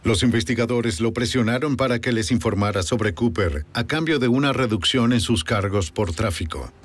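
A man giving measured scripted narration for a crime documentary, recorded as a voice-over. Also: medium pitch, soft background music.

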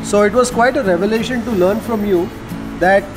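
A middle-aged man with an Indian English accent talks through each dish he tastes, his voice sitting high for a man. He savors and explains flavors in an easy, descriptive way. Music plays clearly behind him. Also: a little fast. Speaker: food vlogger host. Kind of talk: casual food-tasting commentary. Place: restaurant dining table, indoors.

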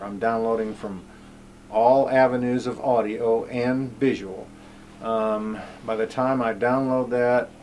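A man's voice: on the low side, a little hiss or hum. A middle-aged man talking casually to camera on an outdoor cabin porch, rambling with many ums and uhs. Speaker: homestead YouTuber.

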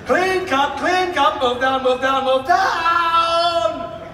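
A man performing an excitable, giggly, spluttering old cartoon eccentric live, in a theatrical, exclamatory manner. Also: extremely high pitch, drawn-out words, exaggerated voice. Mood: giddy, silly. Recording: distant, echoey hall audience recording, noisy.

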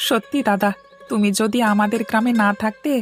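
A woman's voice: a little high, steady tone, a little fast, faint music behind, lightly acted. A woman voicing a grateful young male village helper in a cartoon, eager and admiring.

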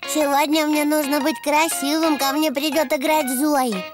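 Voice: sits high, flat delivery, natural voice. An actor voicing a cheerful, eager little boy donkey in a childlike, bubbly way for a preschool cartoon dub. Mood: friendly. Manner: childlike, bubbly, simple slow phrasing. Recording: music bed behind the voice.

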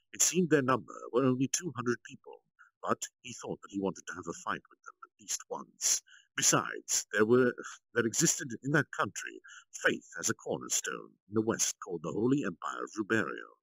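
Low, gravelly, very animated male storyteller. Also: no echo, rough recording. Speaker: streamer, story reader. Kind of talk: dramatic narration of translated light novel.

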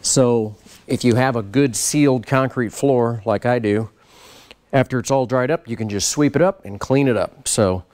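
A middle-aged man giving a casual walk-around tour of his race car shop, explaining parts in a relaxed, practical way. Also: medium pitch. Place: large garage workshop, indoors.

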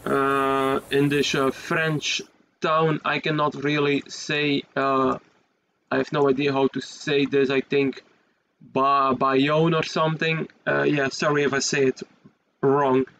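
A man's voice: medium pitch, level tone, slow pace, low-quality recording. A young man from Belgium with a Dutch accent, a native Dutch speaker, giving casual, hesitant gameplay commentary in English. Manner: plain, hesitant, with frequent fillers. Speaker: gaming YouTuber. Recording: game truck sounds underneath. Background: loud truck engine and road noise.

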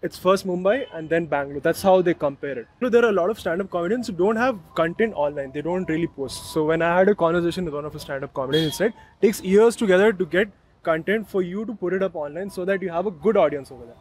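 A young man conducting a relaxed interview, with a high voice and a quick, rushing pace. He asks his questions eagerly, piling on hypotheticals. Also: low-quality recording. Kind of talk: casual interview. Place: outdoor cafe patio.

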